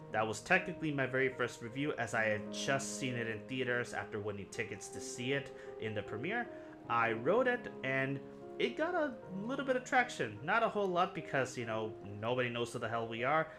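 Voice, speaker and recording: A young man from Puerto Rico hosting a film review channel, telling a personal story in an earnest, rambling way that often corrects itself. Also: medium pitch. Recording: music playing underneath.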